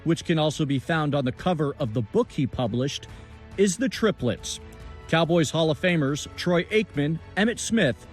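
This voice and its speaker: A man's voice: on the high side, lively intonation, normal speed. A man working as a TV news reporter, narrating a scripted feature. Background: music bed.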